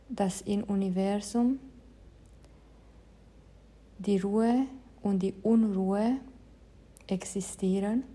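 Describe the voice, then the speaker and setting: A woman who teaches meditation explains her classes in careful, measured speech, as a non-native speaker of the language. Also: mid-range voice, warm tone. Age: adult, past her teens. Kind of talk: calm spoken introduction to meditation.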